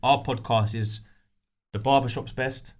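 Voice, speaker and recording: Laid-back podcast host. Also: male voice, mid-range voice, fairly even intonation, measured pace. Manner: laid-back, affirming street slang. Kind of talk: casual chat.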